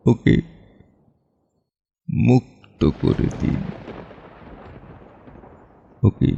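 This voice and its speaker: Man acting a slow, gravelly grief-stricken father. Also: on the low side, warm tone, a little hiss or hum, exaggerated voice. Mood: broken pleading. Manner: halting, stammering, long pauses. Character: grief-stricken, deferential father pleading with police. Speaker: actor in an audio drama. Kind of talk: dramatic monologue in an audio play.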